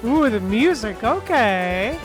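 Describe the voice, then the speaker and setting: A woman's voice: fairly high pitch, very animated, slow. A woman streamer giving casual, slangy reactions while playing a game. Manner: slangy, muttered reactions to gameplay. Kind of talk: casual gaming commentary. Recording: game music behind the voice. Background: game music and effects.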